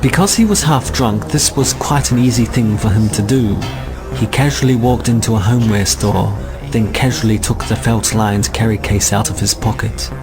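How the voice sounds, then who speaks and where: Male narrator, low creaky voice, dry formal delivery, scripted sci-fi radio comedy, music behind. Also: normal speed. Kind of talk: scripted comic narration, science fiction radio.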